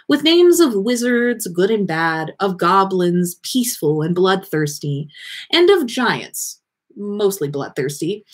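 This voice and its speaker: Slow, expressive woman reading a story. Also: mid-range voice. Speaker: podcast host reading fan fiction.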